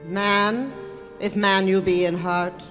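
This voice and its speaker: An actor playing a stern, solemn ghostly spirit in a live radio drama, speaking gravely and deliberately with declamatory weight. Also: mid-range voice, fairly even intonation, a bit bright. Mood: solemn rebuke. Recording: long hall reverb, faint music behind.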